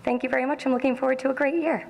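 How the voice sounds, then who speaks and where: Woman, department supervisor, formal board presentation, gravelly voice, hall reverb. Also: sits high, fairly even intonation, racing, a little mellow, slight background noise. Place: school meeting hall.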